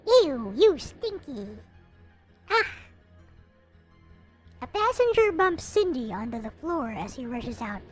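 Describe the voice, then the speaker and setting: Female narrator, high and lively, theatrical read-aloud with playful asides, music underneath. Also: slow, long pauses. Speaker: host reading a visual novel aloud. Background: background music.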